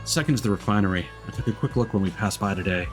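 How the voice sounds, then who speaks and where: A man's voice: lowish voice, very fast. A male streamer casually commenting on a game and reading its text and dialogue aloud. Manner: relaxed, reads dialogue lines flatly and comments between them. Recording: game music behind the voice.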